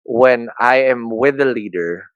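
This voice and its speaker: A man's voice: medium pitch, unhurried, no room sound, highs cut off. Joking Filipino man, dry close sound. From Philippines. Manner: self-deprecating, joking, frequent restarts. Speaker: podcast guest, entrepreneur. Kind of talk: candid conversational interview.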